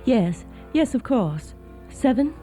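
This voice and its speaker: Wistful young wife, very muted tone. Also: female voice, a little high, big pitch swings, slow, mellow, soft background music. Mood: reserved. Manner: hesitant, polite, restrained. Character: weary, reserved, wistful young Southern wife. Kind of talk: period radio drama acting.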